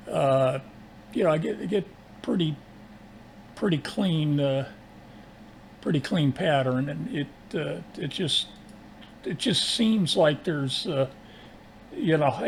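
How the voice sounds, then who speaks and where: A man talking casually as an amateur radio operator, halting and technical in his delivery. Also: on the high side, gravelly. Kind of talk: casual ham radio exchange. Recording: constant hiss, ambient background noise.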